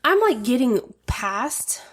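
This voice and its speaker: A woman's voice: on the high side, big pitch swings, husky. Stuffy-nosed woman chatting and laughing. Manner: laughs often, teasing, quick asides. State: stuffy nose, slightly sore throat. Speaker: podcast co-host. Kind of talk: casual chat.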